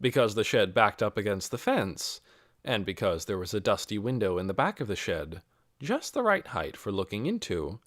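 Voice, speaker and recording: A man reading a children's novel as scripted audiobook narration, in an expressive storytelling manner. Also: lowish voice, normal speed, no room sound, studio quality.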